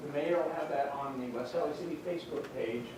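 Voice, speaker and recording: A man casually directing a group photo at a public meeting, giving quick, informal instructions. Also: a little high. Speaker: official arranging a group photo. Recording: far from mic in a reverberant, noisy chamber.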